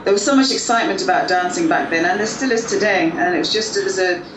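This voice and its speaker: A woman's voice: fairly low pitch, quick pace. Chatty woman singer in a roomy space. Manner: relaxed, chatty, tags questions. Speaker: interview guest, singer. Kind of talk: casual chat. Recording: roomy, distant mic, faint noise.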